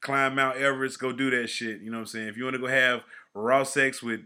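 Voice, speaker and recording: Podcast co-host, male, 40s, bright tone, slangy and animated, loud then mumbling at phrase ends. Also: mid-range voice, fairly quick, clean tone. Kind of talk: casual chat.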